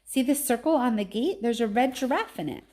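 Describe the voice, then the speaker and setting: A woman narrator voices an excited young girl from a storybook. Her speech is high and emphatic. She is earnest and persuasive, insisting and pleading like a child with a big idea. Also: brisk, close to an everyday voice. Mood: insistent.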